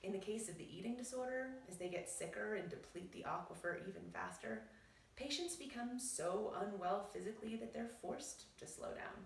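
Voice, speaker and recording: Gravelly woman reading aloud in a roomy space. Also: mid-range voice, far from the mic, slight background noise. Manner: measured, explanatory reading. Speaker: physician and author. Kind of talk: reading aloud from her own book. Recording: roomy echo, voice set back from the mic.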